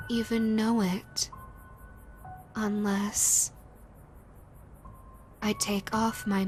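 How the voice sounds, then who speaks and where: A woman playing a female yokai ghost in an audio roleplay, theatrical and deliberate, menacing yet easily flustered. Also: fairly high pitch, darkish tone. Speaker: voice actress. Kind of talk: audio roleplay, character speaking to listener.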